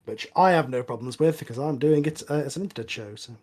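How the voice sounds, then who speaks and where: A man's voice: mid-range voice, quick pace, noisy background. A man hosting a casual group commentary on a film, speaking conversationally. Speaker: host of a group movie commentary, show creator.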